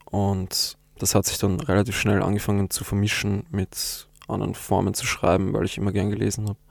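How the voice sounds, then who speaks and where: Low, flat, gravelly-voiced man. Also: fairly quick, dry recording. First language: German. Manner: thoughtful, hesitant, with restarts. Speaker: interviewed poet. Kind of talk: reflective interview answers.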